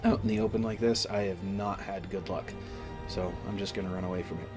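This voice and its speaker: A man with a slight cold giving casual let's-play commentary, explaining things in a deliberate, halting way. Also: deep voice, fairly even intonation. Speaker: gaming video host. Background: game music.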